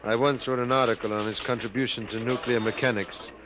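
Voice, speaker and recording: An actor playing a courteous, smooth, probing male science writer in a 1950s radio drama. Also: mid-range voice, fairly even intonation, brisk, natural voice. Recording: scratchy, hissy old radio recording.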